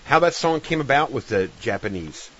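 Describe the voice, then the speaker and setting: A middle-aged man with a deep voice, chatting casually and plainly as a podcast host in a rambling, informal way. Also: expressive, moderate pace, fairly bright tone. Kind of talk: casual interview chat. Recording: dull lo-fi audio with clear hiss.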